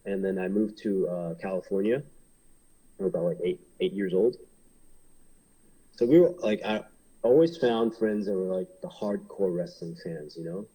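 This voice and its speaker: A man's voice: deep voice, moderate pace, warm tone, breathy edge, low-quality recording. A man in his thirties from Hong Kong, a pro wrestler, talking casually in an interview and rambling with many fillers and restarts.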